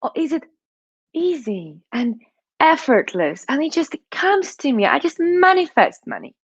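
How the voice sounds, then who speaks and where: A woman's voice: high voice, sing-song, a little slow, no room sound, lo-fi sound. Russian-accented woman coaching on money. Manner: coaching, asks the listener questions, adds "right?" and "okay?". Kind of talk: motivational talk to viewers.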